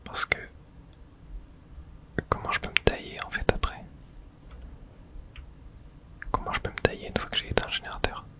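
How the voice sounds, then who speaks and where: A woman's voice: normal speed, pauses often. A woman whispering casual, slangy ASMR commentary as she plays a horror video game.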